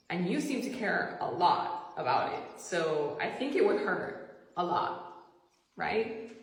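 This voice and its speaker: A woman's voice: lowish voice, gravelly, heavy reverb, in a large hall, low-quality recording. A woman actress speaking earnestly and candidly in a personal vlog.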